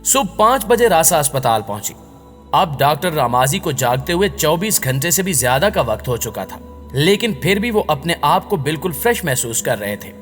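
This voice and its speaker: High-pitched male story narrator, heavy reverb. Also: a bit bright. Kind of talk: scripted dramatic story narration in voice-over. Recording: long reverb on the voice. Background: background music.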